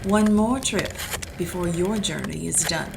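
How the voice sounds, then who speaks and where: A woman's voice: fairly low pitch, a little slow, gravelly, loud music behind the voice. A woman voicing a hard-boiled female private detective with a clipped, blunt manner, in a community radio play. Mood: accusing.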